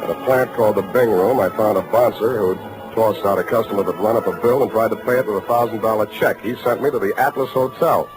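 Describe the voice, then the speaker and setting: An actor playing a tough, wisecracking private detective with an American accent and dry delivery in a 1940s radio drama. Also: male voice, lowish voice, fast, few pauses, loud music behind the voice, natural voice, poor audio. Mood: wry narration. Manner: dry, wisecracking, hard-boiled. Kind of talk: 1940s radio acting with first-person narration.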